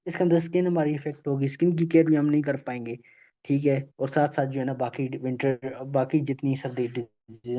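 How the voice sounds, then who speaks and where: A man giving an online health awareness lecture, halting and full of filler phrases, checking in with "okay?" after almost every point. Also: a little high, dark tone. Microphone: video call. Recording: dull lo-fi video call audio.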